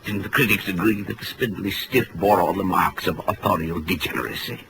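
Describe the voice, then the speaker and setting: A man's voice: deep voice, fairly quick, vocal fry. An actor playing a florid, unhinged male author in melodramatic 1940s radio style, on a noisy old broadcast. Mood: grandiose. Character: theatrical, unhinged male author, a guilty culprit.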